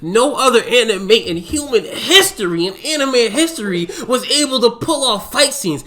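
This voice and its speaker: A young man co-hosting a podcast, arguing his side in a casual debate, fast and animated. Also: extremely high pitch. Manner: fast, animated, laughs and repeats himself.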